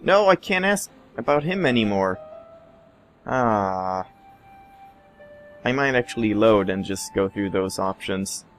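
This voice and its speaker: A man playing a video game and tossing out laid-back, sarcastic remarks. His voice sits a little low and he talks in a loose, offhand way. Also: lively intonation, slow, pauses often, faint music behind. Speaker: let's play commentator. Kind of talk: casual gameplay commentary.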